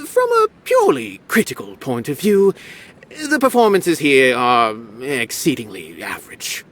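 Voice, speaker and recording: A man's voice: medium pitch, wildly swooping pitch, measured pace, fairly bright tone, exaggerated voice. An actor voicing a pompous, verbose male art connoisseur with grand, formal phrasing in a video game. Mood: earnest. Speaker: game character.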